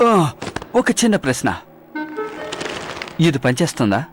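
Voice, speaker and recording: A man's voice: mid-range voice, big pitch swings, unhurried. A man playing an earnest, boyish young hero, unsure yet willing, in a dubbed cartoon. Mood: doubtful. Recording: loud music over the voice.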